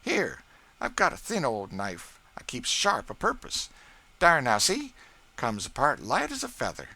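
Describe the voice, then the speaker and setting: A proud, scolding older woman cook who brags about her baking in a folksy drawl, put on by a male reader. The voice sits high and loud, swooping up and down as she fusses. A storybook figure full of bustle and scorn. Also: huge pitch swings, a little slow, vocal fry, exaggerated voice. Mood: fussing doting. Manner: scolding, boastful folksy dialect. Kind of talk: audiobook character voice.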